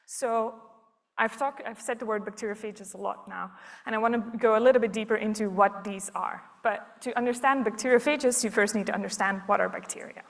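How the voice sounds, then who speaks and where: A woman's voice: medium pitch, brisk, vocal fry, a bit bright. A woman in her thirties from Belgium giving a public science talk, explaining things step by step with frequent ums.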